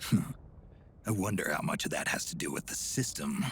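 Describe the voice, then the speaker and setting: Casting: weary video game war veteran, deep growling voice, gruff, brooding delivery, some background noise. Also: male voice, measured pace, fairly bright tone. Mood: sceptical, brooding. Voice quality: gravelly, low growl. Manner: terse, brooding. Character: gruff world-weary old male soldier, stern and sceptical. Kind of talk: video game cutscene acting.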